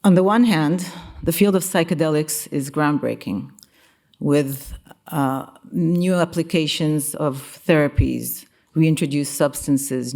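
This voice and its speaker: Low-voiced woman giving a formal speech. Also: a little mellow. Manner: formal, measured, halting with repeated words. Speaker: company chief executive giving opening remarks. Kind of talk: prepared conference speech. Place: conference venue in a museum.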